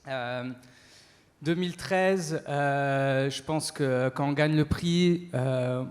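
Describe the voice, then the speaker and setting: A man giving an entrepreneur's testimony in an on-stage interview, speaking haltingly with frequent pauses. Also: a little high. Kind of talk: spoken testimony at a business talk event. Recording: echoey hall, long reverb.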